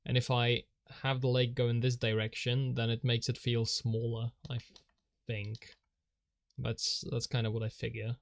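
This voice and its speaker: A man's voice: medium pitch, completely flat delivery, measured pace, gravelly. A man whose first language is Polish, rambling and self-mocking as he thinks aloud while drawing on a live stream, chuckling often.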